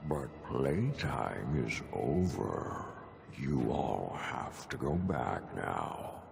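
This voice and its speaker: A man's voice: very animated, drawn-out words, exaggerated voice. A man playing a smug, falsely soothing sloth demon in a fantasy video game, drawling and condescending. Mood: mocking, smug. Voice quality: deep, gravelly, creaky. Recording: long cavernous reverb.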